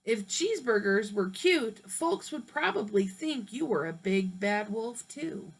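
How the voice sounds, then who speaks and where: A woman's voice: mid-range voice, sing-song, unhurried, breathy edge, heavily acted, low-quality recording. A woman voicing a male wolf who narrates his own version of a storybook in a chatty, persuasive way. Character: smooth-talking male wolf telling his side.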